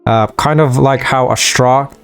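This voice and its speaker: A man hosting a casual let's-play, rambling with many fillers, his voice a bit higher at night. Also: steady tone, a little slow, clean tone, soft background music. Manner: rambling, filler-heavy, easygoing. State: voice higher than usual at night. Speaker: gaming channel host.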